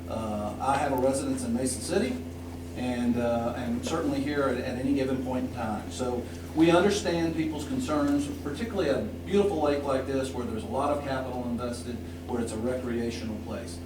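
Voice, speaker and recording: A man giving a measured, reassuring unscripted statement as a company representative at a public meeting. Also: mid-range voice. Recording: distant mic, echoey noisy room.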